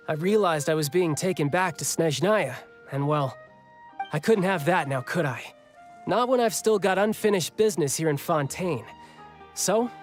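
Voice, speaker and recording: A man's voice: on the high side, lightly acted. A young man voicing a breezy, battle-hungry warrior in a video game who is still recovering from a fight. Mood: casual. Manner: breezy and casual, with coughs. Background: game music.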